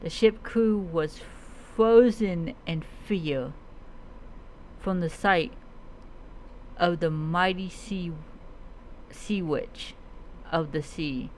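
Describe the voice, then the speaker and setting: A streamer narrating a fantasy adventure tale in a dramatic storytelling style, halting and often stumbling over and repeating words. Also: medium pitch, darkish tone, slight background noise, rough recording. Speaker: streamer narrating own roleplay character's story.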